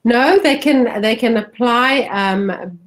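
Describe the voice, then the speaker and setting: A woman's voice: fairly high pitch, slow pace. A woman representing a school, presenting on a webinar over a video call, often hesitating with fillers and restarts.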